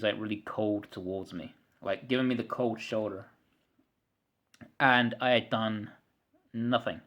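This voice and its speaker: A man in his mid-thirties talks straight to his camera in a long, frustrated rant. He circles back over his words, stumbles on repeats and swears freely. His throat clearing keeps breaking into the flow. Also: medium pitch, pushed and bright. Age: 30s. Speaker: vlogger. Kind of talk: frustrated ranting monologue to camera.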